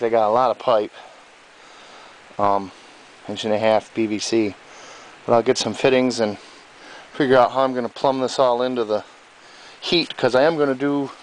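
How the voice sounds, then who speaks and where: A man's voice: mid-range voice, rough recording. A man giving casual, off-the-cuff commentary on his own mechanical project in a folksy, rambling way, talking outdoors into a handheld camera. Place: outdoors in an overgrown yard. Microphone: handheld camera mic. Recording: hissy handheld camera recording.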